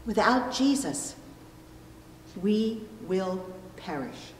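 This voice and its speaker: A middle-aged woman delivers a sermon in a medium-pitched voice. She speaks slowly and deliberately, pausing to weight her phrases. The sound is echoey, as in a reverberant church interior. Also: expressive, a little hiss or hum. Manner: deliberate phrasing with pauses for emphasis. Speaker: pastor. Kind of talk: sermon with illustrative stories. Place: church pulpit indoors, recorded from a distance. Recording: echoey hall, long reverb.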